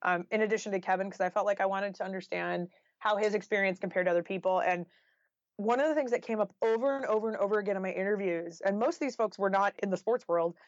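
A woman's voice: mid-range voice, fast talker, no room sound. Woman in dull, dry audio. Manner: conversational, thoughtful, frequent "like" and "you know". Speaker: interview guest, nonfiction author. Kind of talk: casual, reflective interview talk.

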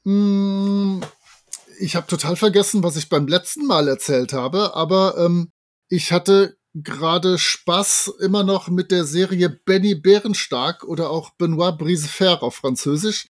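A man's voice: high-pitched, a little slow, dry recording, studio quality. A man, chatting casually and enthusiastically as a podcast co-host. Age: 50s. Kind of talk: casual chat.

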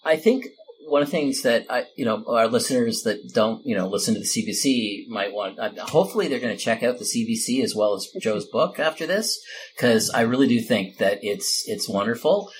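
A man's voice: medium pitch, lively intonation, fairly quick, slight background noise. A man hosting a casual, unscripted podcast conversation. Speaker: podcast host.

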